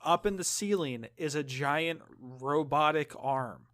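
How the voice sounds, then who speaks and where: A man's voice: on the high side, a little slow, a bit bright. A man co-hosting a podcast, telling stories in an informal, sweary way. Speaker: podcast co-host. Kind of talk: casual chat, storytelling.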